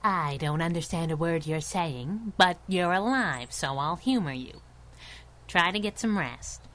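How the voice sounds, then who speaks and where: An actress playing a tough, teasing, affectionate superhero sidekick in a 1930s-style radio drama. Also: female voice, lowish voice, lively intonation, unhurried, a bit bright. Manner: sassy, teasing, clipped.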